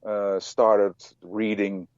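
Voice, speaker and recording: A man's voice: lowish voice, little pitch movement, drawn-out words, a little mellow, dry recording, muffled recording. A man from the Netherlands with a Dutch accent, a guest author answering interview questions hesitantly with many fillers. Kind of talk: interview over a phone line.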